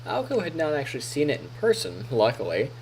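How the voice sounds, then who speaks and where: A man's voice: fairly high pitch, huge pitch swings, fast, darkish tone, a little hiss or hum, low-quality recording. A male streamer reading a visual novel aloud expressively as narrator, on a close microphone. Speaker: live streamer. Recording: music faintly behind.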